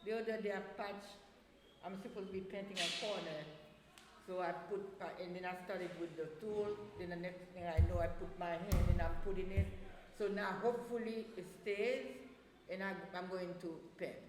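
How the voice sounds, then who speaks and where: An older woman chatting and telling everyday stories casually, in an animated way, as an interview guest. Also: medium pitch, moderate pace. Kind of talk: casual chat, storytelling. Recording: very echoey hall, some background noise.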